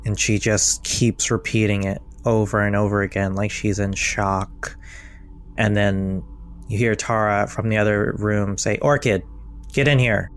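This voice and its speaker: Low-voiced man running a tabletop game. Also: steady tone, measured pace. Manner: casual, many "like" fillers. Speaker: game master.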